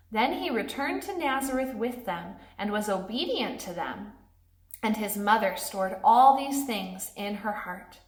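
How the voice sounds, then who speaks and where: A woman doing a read-aloud for young children, speaking in simple phrases aimed straight at little listeners. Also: fairly high pitch. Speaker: church pastor reading a children's Bible story aloud. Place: indoors in a classroom. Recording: echoey classroom.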